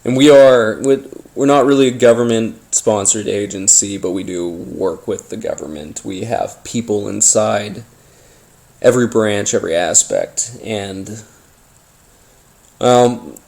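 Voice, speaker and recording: A man hosting a paranormal podcast, telling his story informally in a halting, rambling, conspiratorial way. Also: on the low side, vocal fry, a little mellow. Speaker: podcast host. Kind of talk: informal first-person storytelling monologue, paranormal audio drama.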